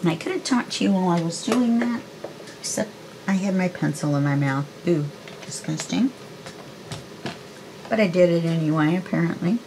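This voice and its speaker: A woman's voice: lowish voice, lively intonation, normal speed, pauses often, noisy background. A woman of about sixty chatting casually through a craft demonstration, thinking aloud in a folksy, self-deprecating way. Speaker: crafter presenting a tutorial. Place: indoors at a craft desk.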